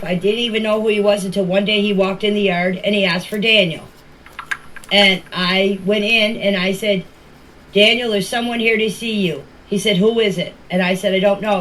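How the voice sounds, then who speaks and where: A woman speaks in a plain, conversational way at a medium pitch, as in a casual voice message. The recording is homemade, with faint noise behind her. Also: a bit bright. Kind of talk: casual spoken voice messages to a group chat. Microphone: voice clips recorded for a group chat. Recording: noisy amateur voice-message recording.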